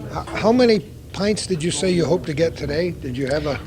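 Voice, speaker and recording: A man's voice: fairly high pitch, animated, a little fast, noisy background. An older man hosting a local cable TV show, chatting with guests in a folksy way and asking leading questions. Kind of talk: on-location interview.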